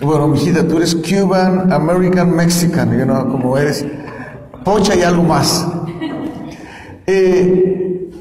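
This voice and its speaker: A man's voice: on the high side, takes it slowly, pauses often, darkish tone. A man preaching a sermon, asking the congregation questions and having them repeat lines after him. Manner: asks the congregation to repeat and answer. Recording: echoey hall with long reverb.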